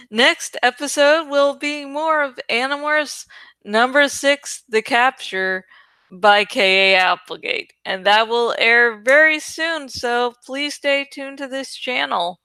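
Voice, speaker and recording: A woman's voice: high voice, slow, bright, forceful tone, smooth, no echo. A woman host talking casually to her viewers, rambling in an irreverent, sweary, giggly way. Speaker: host of a reading channel.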